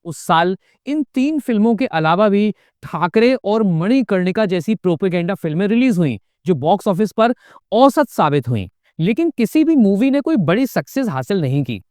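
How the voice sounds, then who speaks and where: Guy doing a scripted political explainer, emphatic and sarcastic, high lively voice. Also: normal speed, no echo, studio quality. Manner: pointed, emphatic, sarcastic asides. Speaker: political video essayist. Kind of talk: scripted commentary narration.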